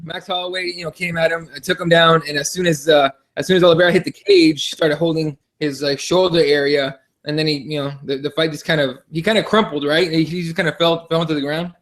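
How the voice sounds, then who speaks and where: Male podcast co-host, higher-pitched voice, casual sports chat, unpolished and repetitive delivery with swearing. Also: fairly even intonation, brisk, few pauses, a bit bright.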